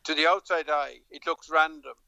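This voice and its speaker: A man's voice: a little high, steady tone, moderate pace, creaky, sharp tone, highs cut off, slight background noise. A middle-aged Irish man, a politician, talking in a remote interview with frequent hesitations, restarts and a storytelling manner. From Dublin, Ireland.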